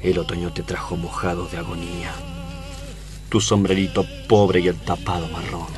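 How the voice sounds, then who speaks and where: Man acting deep-voiced, lovesick Argentine tough guy. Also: little pitch movement, normal speed, lightly acted. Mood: melancholy. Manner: dramatic, poetic. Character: proud, lovesick tough guy, poetic and impatient. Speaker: actor. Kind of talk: tango radio play acting. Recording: music behind the voice.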